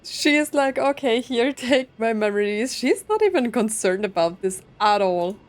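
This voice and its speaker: A woman's voice: a little high, expressive, normal speed. A woman giving casual gameplay commentary and reactions as a game streamer. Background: game music.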